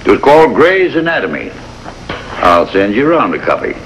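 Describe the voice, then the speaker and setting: An actor playing an older, gruff but kindly country doctor in a 1950s television drama, plain-spoken and wry. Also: male voice, mid-range voice, very animated, brisk. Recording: noisy vintage 1950s television soundtrack.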